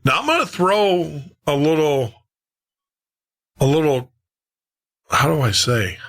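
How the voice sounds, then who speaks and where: A man's voice: on the high side, expressive, slow pace, fairly bright tone. A man hosting a podcast, talking casually and conversationally as he introduces old-time radio shows, often repeating and rephrasing himself. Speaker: podcast host.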